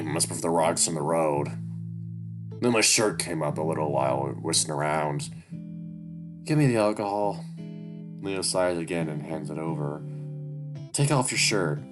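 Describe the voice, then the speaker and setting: A man reading a story game aloud and commenting on it, swearing often and restarting his sentences. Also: sits low. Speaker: gamer playing a story game. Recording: music playing clearly behind.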